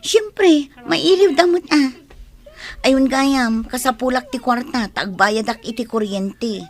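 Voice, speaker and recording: A woman's voice: sits high, slow, exaggerated voice. A woman with an Ilocano accent playing a worried, reproachful farmer's wife and mother in a radio drama. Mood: nagging.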